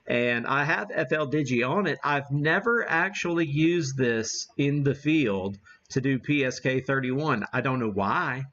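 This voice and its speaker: A man's voice: medium pitch, fairly bright tone, muffled recording, slight background noise. A man hosting a ham radio livestream, chatting casually in a rambling, self-deprecating way as he demonstrates. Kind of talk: casual live-stream chat and demonstration.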